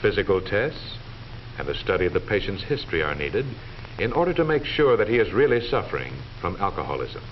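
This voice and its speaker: A middle-aged American man giving formal, measured, authoritative scripted narration in the manner of a 1940s educational film. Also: sits low, big pitch swings. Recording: dull vintage 1940s film soundtrack, faint noise.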